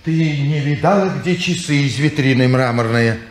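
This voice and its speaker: An actor playing a gruff middle-aged watchmaker and father who scolds his household, in a 1950s radio drama. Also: medium pitch, normal speed, lightly acted. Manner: grumpy, scolding. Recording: echoey old radio studio recording.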